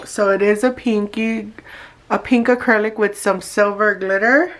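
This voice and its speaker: Casting note: woman vlogger, stuffy nasal voice, slow unhurried chat. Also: mid-range voice, fairly even intonation, noisy background. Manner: chatty, talks straight to viewers. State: congested and nasal from allergies. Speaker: beauty vlogger. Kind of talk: casual unboxing vlog.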